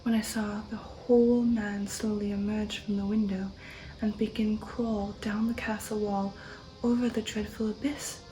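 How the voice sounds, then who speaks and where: A woman giving an ASMR reading of a gothic novel in a soft, breathy whisper, slow, hushed and measured, close to the microphone. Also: medium pitch, little pitch movement, rough recording. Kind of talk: ASMR audiobook narration.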